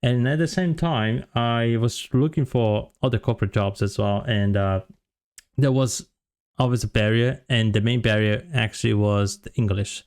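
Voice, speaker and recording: A man's voice: mid-range voice, steady tone, normal speed, a little mellow, clear, resonant tone. A man in his thirties from Brazil, with a Brazilian Portuguese accent, casually telling his story to camera with many fillers and restarts. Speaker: vlogger, software developer.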